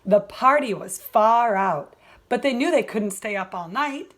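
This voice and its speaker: Woman, children's story narration, slightly high pitch, slow sing-song delivery, teacher role. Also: animated, fairly bright tone. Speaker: kindergarten teacher reading aloud.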